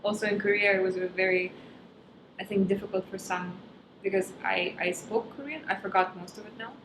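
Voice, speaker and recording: A woman's voice: medium pitch, steady tone, brisk. A young woman from Kazakhstan whose first language is Russian, chatting casually as a guest and searching for words with frequent ums. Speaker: stand-up comedian, guest. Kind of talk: casual interview chat. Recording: echoey room with background noise.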